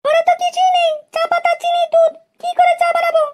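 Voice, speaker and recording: Whiny cartoon housewife: piercingly high, flat intonation, quick protesting delivery. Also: female voice. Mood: questioning. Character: squeaky, protesting village housewife. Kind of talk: amateur comedy cartoon voice. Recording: loud noise with clicks.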